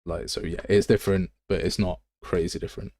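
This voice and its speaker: Deep-voiced Midlands Englishman, casual streamer. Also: little pitch movement, a little fast, dark tone, dry recording, clean studio sound. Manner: rambling, blunt, informal, thinking aloud. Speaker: game development livestreamer. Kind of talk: casual live tutorial chat.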